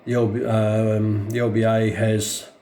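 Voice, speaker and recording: A man with an Australian accent and a mellow, lowish voice walks through a piece of equipment unscripted. He explains in a plain, matter-of-fact way, often halting to find the next word. Faint noise sits behind him on the camera mic. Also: level tone. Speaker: radio collector presenting a review. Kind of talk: unscripted hands-on product demonstration.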